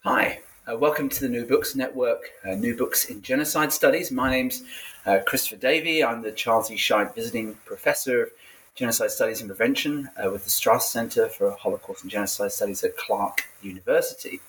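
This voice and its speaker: A man hosting an academic book podcast, introducing his guest in a formal but halting way. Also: mid-range voice, a bit bright, slight background noise, poor audio. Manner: halting, frequent hesitations. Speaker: podcast host and professor.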